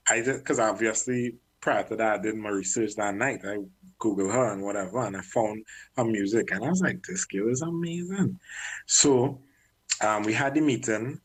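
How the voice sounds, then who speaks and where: A husky, slightly breathy man speaks in a relaxed, candid interview tone. He manages artists and keeps clearing his throat as he goes. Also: on the low side, normal speed, low-quality recording. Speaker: music manager. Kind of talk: casual interview talk.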